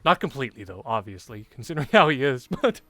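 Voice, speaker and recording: Guy streaming a game, slangy and jokey, lively pitch, some music behind. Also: fast, dry recording. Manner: slangy, joking. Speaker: streamer playing a visual novel. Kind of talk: casual livestream commentary.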